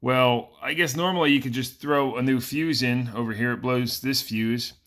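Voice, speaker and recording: A man's voice: medium pitch, steady tone, moderate pace, fairly bright tone. A man giving a casual, plainspoken how-to explanation as the host of a hands-on repair tutorial. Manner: plainspoken, informal.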